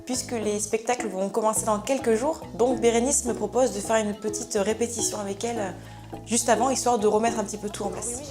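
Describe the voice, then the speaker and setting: A zookeeper woman speaks frankly about feeling nervous, her voice congested from a head cold. She sits slightly high in pitch. Background music runs beneath her. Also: steady tone, brisk. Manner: candid, admits nerves plainly. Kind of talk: documentary interview narration.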